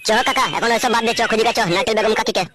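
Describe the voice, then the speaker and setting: A woman's voice: fairly high pitch, steady tone, very fast, a crowd in the background, lightly acted. A woman voicing a cheeky, crude young nephew in a comic cartoon, blunt and teasing. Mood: blunt, urging.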